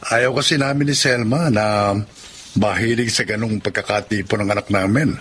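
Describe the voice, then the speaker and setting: A man playing a firm but kind, God-fearing father with an earnest, measured manner in a Tagalog radio drama. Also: on the low side, steady tone, fairly bright tone, slight background noise, close to an everyday voice.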